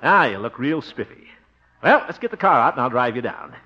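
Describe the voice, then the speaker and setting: A man's voice: mid-range voice, sing-song, a little fast, bright, forceful tone. Blustery, swooping radio father. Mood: fussy, proud. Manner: hearty, fussing, blustering, chuckling. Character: blustery, fussy, proud adoptive father, jolly small-town homemaker. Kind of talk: 1950s radio situation comedy acting. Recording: dull, hissy old radio recording.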